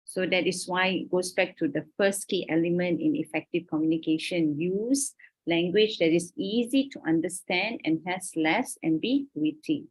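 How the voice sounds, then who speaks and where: Malaysian lady lecturer on a video call, kinda husky, lowish voice, keeps saying okay. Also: moderate pace, low-quality recording. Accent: Malaysian English. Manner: explanatory, checks in often with "okay?" and "all right". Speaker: university lecturer. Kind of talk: online lecture.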